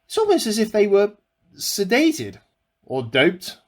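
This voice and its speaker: A man's voice: high-pitched, huge pitch swings, measured pace, heavily acted. A man playing an eccentric amateur scientist who earnestly records a self-important secret diary in a radio play. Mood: weary, musing. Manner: earnest, self-important, musing aloud in dated log entries.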